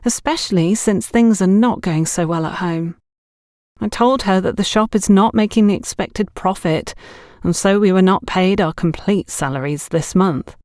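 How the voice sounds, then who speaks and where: A woman's voice: mid-range voice, moderate pace, darkish tone, dry recording, studio quality, natural voice. A woman voicing an exasperated young saleswoman in an audiobook, complaining with dramatic indignation about a scrounging boyfriend. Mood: aggrieved, complaining. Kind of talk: audiobook character dialogue.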